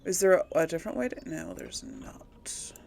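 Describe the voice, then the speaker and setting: A woman's voice: lowish voice, wildly swooping pitch, normal speed, gravelly, darkish tone, slight background noise. A woman hosting a casual gaming let's play, thinking aloud in a chatty, folksy way with a slight throaty tickle from a recent cold. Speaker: gaming video host.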